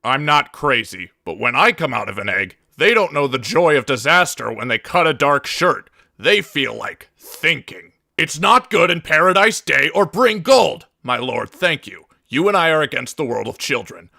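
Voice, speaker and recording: A man's voice: a little high, very animated, normal speed, vocal fry, few pauses, sharp tone, dry recording, clean studio sound, full character voice. A man voicing a brash company boss in a game parody, declaiming announcements in stilted phrases. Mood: declamatory. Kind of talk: parody game voice lines, read from garbled machine translation.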